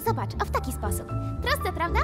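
A woman's voice: very high-pitched, wildly swooping pitch, brisk, music in the background, full character voice. A woman voicing a bubbly, bossy, mischievous young cat in a cartoon dub, perky and insistent. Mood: eager, bossy. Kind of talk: animated cartoon dub acting.

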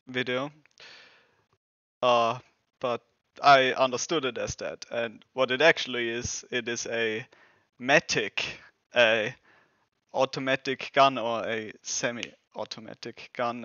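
A man's voice: medium pitch, sharp tone, no echo. A young man in his twenties, a native German speaker, chatting casually on a headset mic in a small room at home. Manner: rambling, self-correcting, lots of fillers. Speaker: reaction video host. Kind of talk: casual reaction talk. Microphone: gaming headset mic. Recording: dull lo-fi headset audio.

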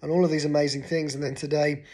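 An earnest English man in his forties gives a brief home devotional, speaking close to a phone camera from his living room sofa. His voice sits a little high for a man, and he talks with his hands as he goes. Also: level tone, quick pace, darkish tone. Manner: earnest, gestures with his hands while talking. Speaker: pastor. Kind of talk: short devotional talk to camera.

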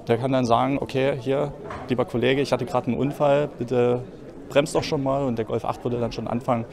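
A man in his thirties giving a spontaneous interview as a sales consultant, explaining things in halting phrases. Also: mid-range voice, steady tone, vocal fry. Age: 30s. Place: busy car showroom, indoors. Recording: busy showroom crowd noise. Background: crowd chatter.

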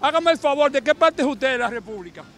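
A middle-aged man with a Dominican accent, a street reporter with a handheld mic who briskly stops passersby on a busy sidewalk to ask their opinion. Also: very high-pitched, lively intonation, a bit bright, slight background noise. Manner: brisk, friendly, calls out to passersby. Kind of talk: street vox pop interviews. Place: busy city sidewalk outdoors.

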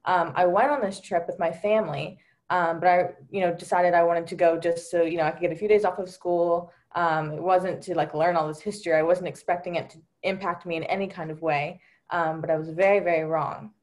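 Young woman panelist, slightly low voice, sincere storytelling over a video call. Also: fairly even intonation, brisk, a little mellow. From Delaware, USA. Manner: earnest, many fillers like um and you know. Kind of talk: personal account on a virtual panel.

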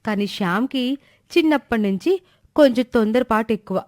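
A woman's voice: a little high, lively intonation, brisk, a little mellow, no echo, clean studio sound. A woman giving scripted narration of a moral story.

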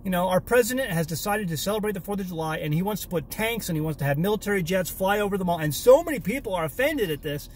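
Casting: man in his thirties, homestead vlog host, fairly high voice, emphatic and repeating himself for emphasis, selfie from a parked car with faint background hum. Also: lively intonation, fast, hardly any pauses. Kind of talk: casual selfie vlog rant. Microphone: phone or camera at arm's length.

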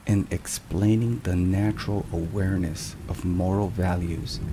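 A man giving a scripted, solemn ASMR devotional narration in a soft, measured and deliberate way. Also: low-pitched, vocal fry. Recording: steady rain and thunderstorm noise. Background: rain and thunder.

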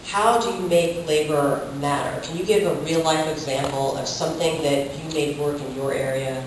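A man's voice: a little high, fairly even intonation, moderate pace. A man moderating a conference panel and putting questions to the speakers. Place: hotel conference ballroom, recorded from the audience. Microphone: distant camera picking up the room sound. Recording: distant, very echoey room recording, clear noise.

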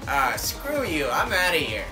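A man's voice: on the high side, huge pitch swings, unhurried, over music. A man voicing a cocky, wisecracking young cartoon hedgehog racer in a flippant, improvised comedy fandub. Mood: cocky, teasing. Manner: flippant, teasing.

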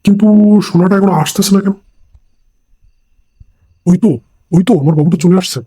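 A man playing a lecherous, foul-mouthed older father in a comic cartoon, talking in a crude, hurried way. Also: high-pitched, steady tone, warm tone, husky. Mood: eager. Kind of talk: comic cartoon dialogue in colloquial Bengali. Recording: music behind the voice.

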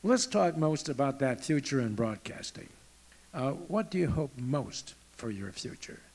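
A man in his seventies hosts on stage with a handheld microphone. His voice is creaky and moves in animated swings as he asks informal questions. Clear background noise sits behind him. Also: mid-range voice, unhurried. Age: 70s. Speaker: presenter. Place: auditorium stage, indoors. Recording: clearly noisy live stage sound.

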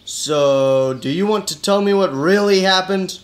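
An actor voicing a skeptical, hard-boiled private eye with dry, deadpan delivery in a noir detective radio play. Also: male voice, high-pitched, unhurried. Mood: dry, probing. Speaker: detective and narrator.

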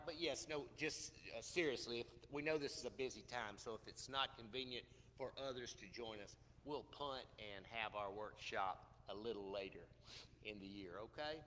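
A Southern pastor in his forties gives announcements from the pulpit, folksy and conversational, gesturing as he talks. His voice is bright and forceful, and it rings out in a long church reverb over a faint room hum. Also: mid-range voice. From Mississippi. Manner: folksy, chatty, asks the congregation questions. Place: church sanctuary with congregation. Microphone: pulpit microphone.

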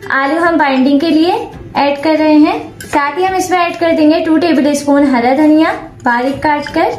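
A woman with a high voice narrates a cooking tutorial, giving calm, step-by-step instructions. Her voice-over sounds echoey, with soft music playing behind her. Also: moderate pace. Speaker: cooking channel host.

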